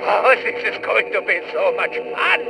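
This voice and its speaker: An actor playing a gleefully sinister mad German scientist in a video game, gloating theatrically with a German accent and a gravelly, shrill voice. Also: male voice, very animated, sharp tone, no room sound, heavily acted, low-quality recording. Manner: slow, gloating, maniacal.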